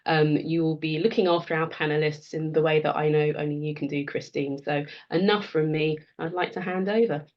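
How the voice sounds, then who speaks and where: 낮은 목소리의 여성 행사 진행자. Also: female voice, low-pitched, steady tone, fairly quick, hardly any pauses. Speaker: event host. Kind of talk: welcoming introduction to an event. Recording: slightly dull online call audio.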